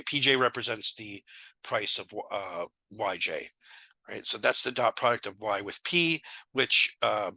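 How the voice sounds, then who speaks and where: Hoarse, sick man in his 30s, gravelly, very bright tone, casual math tutor on a headset. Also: on the low side, no room sound, poor audio. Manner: casual, thinking aloud with fillers. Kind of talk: casual lecture walkthrough. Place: small room indoors.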